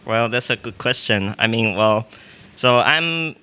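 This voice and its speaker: A man's voice: medium pitch, expressive, measured pace, pushed and bright, slight background noise. A man from Taiwan, a professor guest on a casual podcast, talking with many fillers and restarts.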